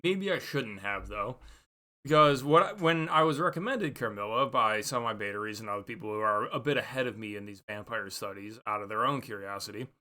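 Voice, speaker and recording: Bright, forceful man talking off the cuff. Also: mid-range voice. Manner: rambling, halting, thinking aloud. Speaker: book reviewer. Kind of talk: casual unscripted book review monologue.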